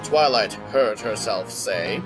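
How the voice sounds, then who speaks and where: A man's voice: on the low side, expressive, slow. A man narrating a scripted story as an audiobook. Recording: music behind the voice. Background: background music.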